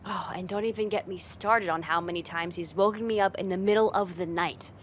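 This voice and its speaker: A woman's voice: fairly low pitch, steady tone, fairly quick, a little hiss or hum, close to an everyday voice. A woman playing a friend's teasing older sister in a casual, conversational ASMR roleplay spoken straight to the listener. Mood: chatty, exasperated.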